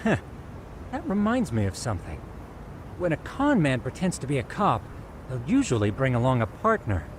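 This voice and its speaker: A man playing a polite, meticulous, quietly smug tech investigator in a video game dub, with formal, precise, wordy speech. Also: mid-range voice, huge pitch swings, moderate pace, a little hiss or hum. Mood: formal, brisk.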